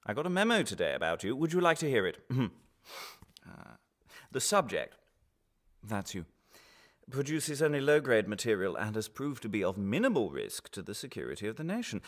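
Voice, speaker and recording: A man playing an officious, falsely reasonable interrogator in a radio drama, patronising and quietly menacing. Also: medium pitch, big pitch swings, lightly acted. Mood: coaxing. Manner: officious, patronising, measured with pointed pauses.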